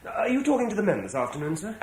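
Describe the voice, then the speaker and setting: An actor playing a proud, boastful young Roman soldier son in a vintage radio drama. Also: male voice, high voice, sing-song, very fast, darkish tone, slight background noise. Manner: boastful, eager.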